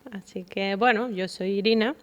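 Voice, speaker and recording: A woman with a Venezuelan accent speaks as a podcast guest, a psychologist in relaxed conversation. She thinks aloud as she goes, with frequent fillers and pauses, her voice sitting at a medium pitch for a woman.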